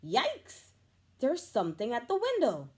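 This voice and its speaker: A woman, a teacher, reading a children's picture book aloud with expressive, animated storytelling. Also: on the high side, a little slow.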